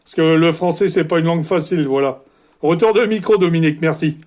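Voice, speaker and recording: A man's voice: fairly high pitch, a little fast, a little mellow. A man speaks as a CB radio operator, courteous and orderly, in the set phrases of a radio contact. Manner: polite, orderly, formulaic sign-offs.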